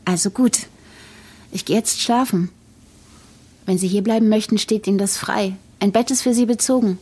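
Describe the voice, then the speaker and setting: Actress as a husky, mellow, pragmatic young mother. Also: mid-range voice, normal speed, close to an everyday voice. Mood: probing, patient. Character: tired, pragmatic young mother, reassuring yet probing friend. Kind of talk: naturalistic German audio drama acting.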